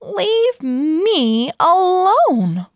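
Small male pug for a children's book, read by a woman, sincere and quick to take offence, slow, dry recording. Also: extremely high pitch, sing-song, dry recording, voice pushed up, full character voice. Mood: indignant. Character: small earnest male pug, easily exasperated.

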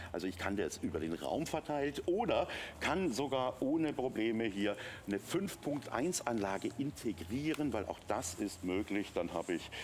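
A man's voice: fairly low pitch, a little hiss or hum. A middle-aged man presenting a product on a shopping channel in a chatty, enthusiastic, unscripted way with emphatic repetition. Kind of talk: unscripted live product demonstration. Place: TV shopping studio.

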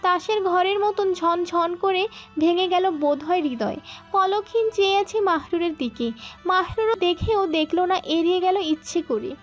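Very high-pitched woman narrating a story. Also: a little slow, dull audio, soft background music. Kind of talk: scripted romantic story narration.

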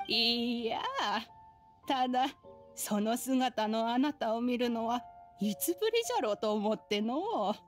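A woman's voice: fairly high pitch, expressive, unhurried, faint music behind. Brief: wise, kindly old woman, wry and old-fashioned. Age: older. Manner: old-fashioned, gently teasing speech. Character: ancient woman spirit in an old lady's form, kindly and wry. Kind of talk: Japanese game dub.

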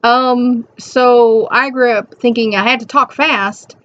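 Middle-aged woman with a high, soft voice. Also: steady tone, takes it slowly. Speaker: host reading and commenting on an inspirational reflection. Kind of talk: casual reflective chat to camera with read-out passages. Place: small cluttered room at home. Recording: dull, lo-fi webcam sound.

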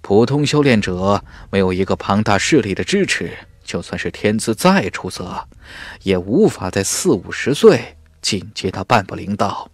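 A man's voice: fairly low pitch, sing-song, normal speed, dry recording. A man voicing a genial, well-informed young male warrior in an audiobook, explaining things affably. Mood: informative.

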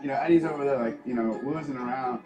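An easygoing man speaks in a reality TV confessional, relaxed and chummy. His voice sits mid-range and sounds roomy. Loud music plays behind the replayed TV audio. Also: medium pitch, quick pace. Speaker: reality show contestant. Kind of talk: confessional interview.

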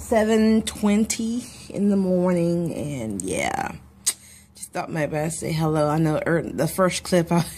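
A woman's voice: low-pitched, a little mellow, a little hiss or hum. A woman in her forties with a stuffy head cold, chatting to the camera in a casual daily vlog, filmed at arm's length. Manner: chatty, laughs easily, talks to camera. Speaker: vlogger. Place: office, car and home, indoors. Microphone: phone or webcam at arm's length.

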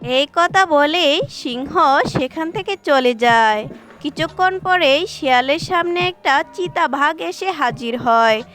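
High-pitched, slow woman storyteller. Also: fairly bright tone, faint music behind. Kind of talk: scripted children's story narration.

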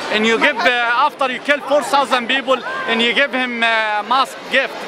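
A man speaks indignantly in short, broken phrases at an outdoor rally. His voice is very high, and a loud crowd surrounds him. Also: steady tone, normal speed, rough recording. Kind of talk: street interview. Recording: loud crowd and street noise.

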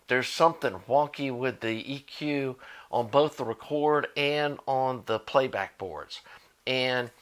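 A man's voice: medium pitch, fairly bright tone. Middle-aged American man explaining informally. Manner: conversational, informal, thinking aloud. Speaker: host of an audio electronics channel. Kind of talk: unscripted explainer to camera. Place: indoor home workshop with shelves of gear.

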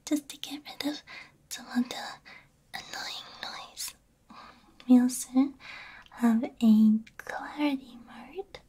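A woman whispering gently and soothingly in an ASMR roleplay as a repair technician, breathy and close to the microphone. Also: high voice. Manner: gentle, soothing, unhurried, soft hums between phrases. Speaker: ASMR VTuber playing a robot repair technician. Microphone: close binaural ASMR microphone.

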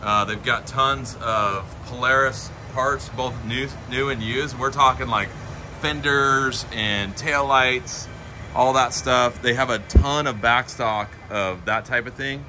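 A man walks through a large indoor warehouse and workshop, pointing out parts in a chatty, upbeat way. His voice sits at an ordinary middle pitch. Steady shop noise runs underneath his voice. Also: slow pace. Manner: chatty, enthusiastic, pointing things out. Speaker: host touring a shop. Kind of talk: casual walkthrough narration. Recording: noisy shop recording.

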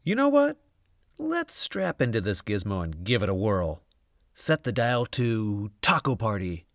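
A man reading a children's picture book aloud, expressive and theatrical, with dramatic drawn-out emphasis. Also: lowish voice, no echo, clean studio sound. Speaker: storybook reader.

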